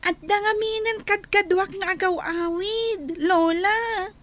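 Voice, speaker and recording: An earnest small schoolchild in a radio drama. The voice is extremely high and childlike, with slow, careful phrases. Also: female voice, fairly even intonation, a bit bright, full character voice. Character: young schoolchild talking earnestly with grandmother. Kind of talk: Ilocano radio drama acting.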